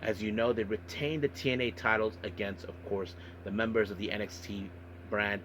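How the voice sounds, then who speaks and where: Male podcast host, fairly low pitch, halting recap commentary, repetitive filler phrases, light background noise. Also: steady tone, a bit bright.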